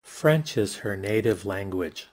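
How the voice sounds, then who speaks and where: A man with a somewhat low voice reads example sentences for a language lesson. His delivery is steady and deliberate, and he repeats each line in the same even way. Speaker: English lesson reader. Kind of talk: reading example sentences aloud.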